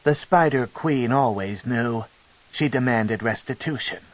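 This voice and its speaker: A man voicing a cold, scheming female dark elf matriarch, with a slow, commanding and sneering delivery. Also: mid-range voice, natural voice. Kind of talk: audiobook character reading. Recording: dull lo-fi tape.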